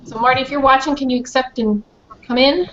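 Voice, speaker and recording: A middle-aged or older woman, a children's author, answering questions informally and haltingly over a video call. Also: sits high, a bit bright. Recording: dull, muffled video-call audio.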